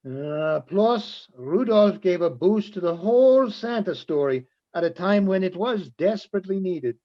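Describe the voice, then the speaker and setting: Man acting lively, slow-spoken inquisitive traveler. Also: high-pitched, highs cut off. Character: thoughtful, inquisitive traveler Hans. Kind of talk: radio play reading.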